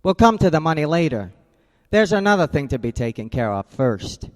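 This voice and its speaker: A male actor plays a cool, wisecracking private eye in a radio crime drama. His voice is a little high, clipped and hard-nosed, ringing in a reverberant space. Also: very animated, moderate pace. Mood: cool, bargaining. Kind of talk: hardboiled radio drama acting.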